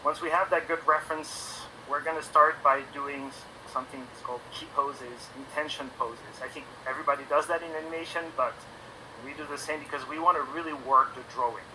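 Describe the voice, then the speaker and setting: A man in his forties from France, speaking English with a French accent and as a non-native speaker, talking about his work in a relaxed, halting way full of ums and restarts. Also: fairly high pitch, slight background noise, rough recording. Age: 40s. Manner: hesitant, many ums and restarts. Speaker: animation guest on a stream. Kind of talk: casual interview talk.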